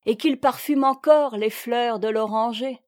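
A woman's voice: fairly high pitch, unhurried, darkish tone, no room sound, studio quality. A woman reciting poetry as a volunteer reader.

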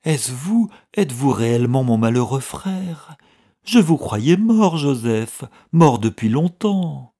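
Guy doing an anxious old clerk in an audiobook, husky, gentle, pleading, kinda agitated. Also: lowish voice, steady tone, normal speed. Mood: shocked. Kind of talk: audiobook character dialogue.

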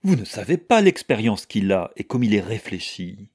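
A man's voice: fairly low pitch, sing-song, normal speed, darkish tone, breathy edge. A man voicing an anxious, submissive middle-aged widow with a doleful, gushing manner in an audiobook. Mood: gushing, defensive.

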